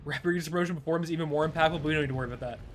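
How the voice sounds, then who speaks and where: A young man streaming a game, chatting casually in a laid-back, chuckling way with a fairly high-pitched voice. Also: very fast, a little hiss or hum. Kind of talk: casual live gameplay commentary.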